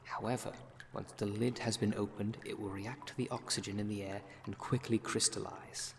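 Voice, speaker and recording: A man acting a brisk, wry city witch in an ASMR roleplay audio drama, talking in a dry, instructive way. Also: on the low side, steady tone, gravelly, background noise. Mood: careful, instructive.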